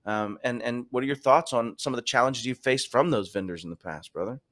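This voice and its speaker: A man hosts a casual chat with an easy, rambling enthusiasm. His voice sits at a middle pitch. He rolls from one thought into the next without stopping. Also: fairly quick, fairly bright tone. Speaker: podcast host.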